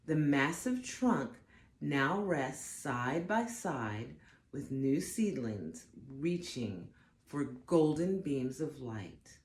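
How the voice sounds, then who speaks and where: A woman reading a picture book aloud to children, with expressive, deliberate emphasis on the words. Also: low-pitched, echoey room. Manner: dramatic, deliberate emphasis for young listeners. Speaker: storybook reader for children.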